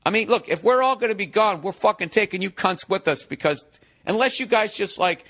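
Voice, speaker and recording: A man in his forties hosts a comedy podcast, mocking a listener's email. His voice sits high for a man, and the talk stays sarcastic, exasperated and heavy on profanity. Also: animated, fairly quick, fairly bright tone. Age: 40s. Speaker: podcast host.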